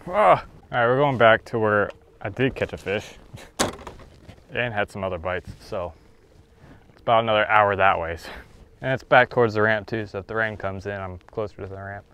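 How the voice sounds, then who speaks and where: A man's voice: lowish voice, normal speed, long pauses, a bit bright, slight background noise. A young man vlogging as he fishes from a kayak on an open lake, chatting casually to himself and the fish and laughing now and then, picked up by an action camera mounted on the boat. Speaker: fishing vlogger. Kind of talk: casual vlog narration.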